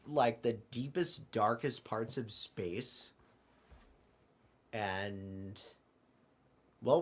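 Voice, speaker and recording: A man playing an excitable, apologetic multiverse traveler in an audio drama, stammering and fussing through his lines. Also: mid-range voice, heavily acted. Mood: baffled. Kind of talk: hobby audio drama dialogue.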